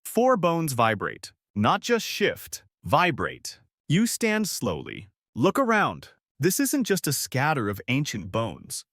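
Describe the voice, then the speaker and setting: A man narrating a scripted bedtime story in the second person, with a hushed, poetic delivery in short, deliberate phrases. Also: mid-range voice, dry recording, clean studio sound.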